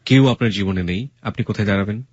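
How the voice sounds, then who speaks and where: Male radio host, Bangladeshi accent, low husky voice, frank and admonishing, English phrases mixed in. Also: fast talker, a little mellow, no echo, muffled recording. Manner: blunt, admonishing, emphatic; mixes English words and phrases into speech. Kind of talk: radio life-story interview, giving frank advice.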